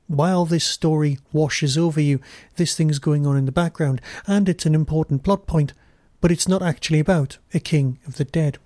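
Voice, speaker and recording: Man hosting, mellow and close. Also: on the high side, fairly even intonation, moderate pace, no room sound. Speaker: podcast host and reviewer. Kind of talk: scripted podcast review monologue.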